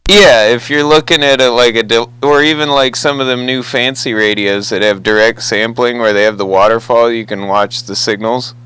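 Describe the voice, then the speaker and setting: A man's voice: mid-range voice, fairly even intonation, moderate pace, few pauses, fairly bright tone, slight background noise, rough recording. An American man chatting casually over the radio as a hobbyist, with an easygoing, folksy manner. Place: two-way CB radio conversation.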